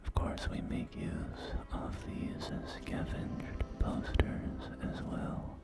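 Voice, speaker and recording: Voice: slow, close to an everyday voice. A performer voicing a polite, eager post-apocalyptic real estate agent in a whispered ASMR roleplay, with courteous, hesitant sales patter. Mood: gently enthusiastic. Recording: loud music bed under close whispering. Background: music and game audio.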